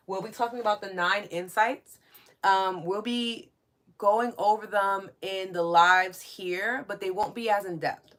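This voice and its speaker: New York woman, a bit low, casual live podcast host thanking listeners. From New York City. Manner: warm, chatty, reads out listener comments and thanks them. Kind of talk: live-stream spiritual podcast chat.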